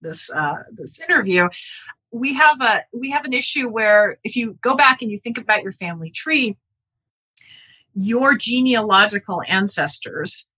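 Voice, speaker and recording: Bright-voiced woman explaining academic research. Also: fairly high pitch, expressive, unhurried, slightly rough, poor audio. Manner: earnest, explanatory, checks with "right?". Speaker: interview guest, geneticist and author. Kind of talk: conversational academic interview.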